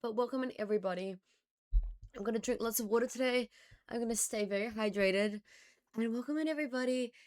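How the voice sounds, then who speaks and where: A woman streamer with a hoarse, raspy voice, recovering from losing it, chatting casually with her live chat and greeting viewers by name. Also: a little high, fast, a little mellow. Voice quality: raspy, slightly gravelly.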